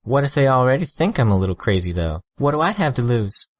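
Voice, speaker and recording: A man's voice: medium pitch, sing-song, quick pace, no echo, lo-fi sound, voice pushed up. A man doing a sassy mocking teenage girl. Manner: teasing, drawling, blunt.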